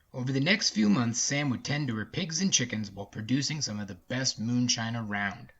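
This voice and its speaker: Husky male storyteller, slightly muffled. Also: mid-range voice, fairly bright tone. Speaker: narrator. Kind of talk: folksy scripted story narration.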